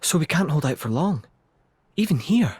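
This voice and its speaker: A man's voice: fairly high pitch, sing-song, moderate pace, slightly rough, no echo, heavily acted. A man playing a grave, thoughtful, worried monarch in a video game, speaking formally and with measure. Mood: somber. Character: grave, thoughtful male monarch burdened with worry. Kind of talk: dubbed video game cutscene acting.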